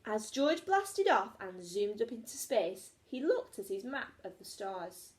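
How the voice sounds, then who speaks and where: Animated woman reading a children's story. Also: medium pitch, a little slow. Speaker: teacher. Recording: echoey room.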